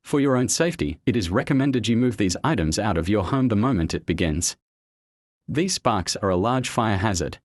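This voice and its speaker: A man's voice: lowish voice, lively intonation, normal speed, no room sound, studio quality. A man reading a scripted emergency announcement as a television continuity announcer.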